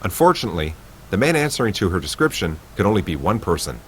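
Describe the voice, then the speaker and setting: A low-voiced man reads a choice-based text game aloud, playful and animated, with his pitch rising and falling a lot. A faint hum of room sound sits under his voice. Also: fast talker. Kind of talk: reading game text with asides.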